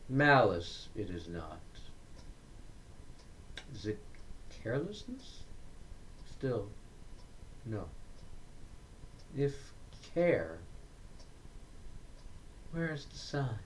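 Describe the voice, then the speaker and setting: A man giving a dramatic recitation of Victorian verse, deliberate and declamatory, breaking the lines into short phrases with pauses. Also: medium pitch, very animated, a little hiss or hum, poor audio. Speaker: reader of poetry.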